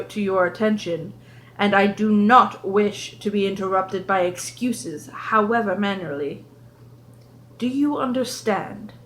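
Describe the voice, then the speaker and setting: A woman's voice: medium pitch, lightly acted, low-quality recording. A woman reading a storybook part as a prim, imperious grandmother with a British accent and a formal, authoritative delivery. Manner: authoritative, clipped, menacing formality. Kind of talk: audiobook character reading.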